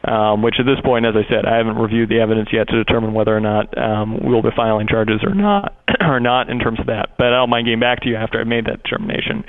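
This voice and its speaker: A man's voice: fast talker, hardly any pauses. A man speaking as a prosecutor on a phone call, explaining procedure in a wordy, hesitant way. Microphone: telephone call. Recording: muffled low-bandwidth phone line.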